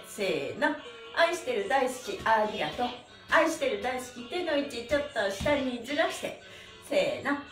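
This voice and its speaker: A middle-aged woman leading a livestreamed stretching lesson in a cheerful, animated manner, with sing-song rhythmic cues, recorded in a small room a few steps from the camera. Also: a little high. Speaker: stretching instructor and mime teacher. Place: small indoor room with bare walls. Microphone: camera a few steps away. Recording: roomy echo, faint music.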